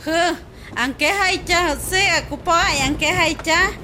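Very high-pitched village woman, played by a woman. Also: slow, pushed and bright, exaggerated voice. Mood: conversational. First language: Popoluca. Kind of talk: radio drama dialogue. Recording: noisy outdoor vehicle ambience.

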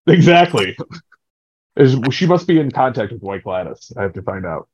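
A man's voice: mid-range voice, very animated, moderate pace, breathy edge, no echo. A man co-hosting a podcast in casual chat, joking and playful. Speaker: podcast co-host. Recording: slightly dull, lo-fi dry recording.

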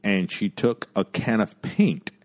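Animated low-voiced man telling stories. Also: slow. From Jamaica, Queens, New York. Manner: animated, profane storytelling with laughs and asides. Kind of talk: casual chat.

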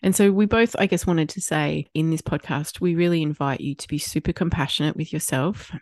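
Aussie woman w a bit of a cold, husky and nasal, lowish voice, dry close sound. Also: brisk, no echo. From Australia. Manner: warm, chatty, laughs often. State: congested from a cold. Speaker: podcast co-host. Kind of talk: casual chat.

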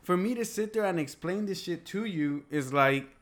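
Bilingual guy in casual chat, native Spanish speaker, slightly high voice, rambles with many restarts. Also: expressive. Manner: rambling, halting restarts, swears.

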